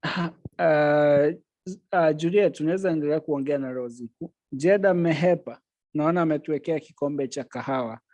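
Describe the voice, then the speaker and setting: A man's voice: fairly high pitch, moderate pace, a little mellow, no room sound. A man teaching Swahili over a video call. He explains things patiently, repeats each point in English, and laughs easily.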